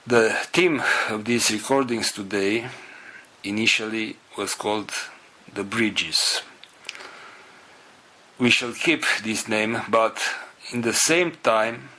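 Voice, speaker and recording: Man, non-native English accent, lowish pitch, forceful bright tone, solemn halting phrases, spiritual talk. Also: rough recording. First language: not English. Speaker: spiritual group leader.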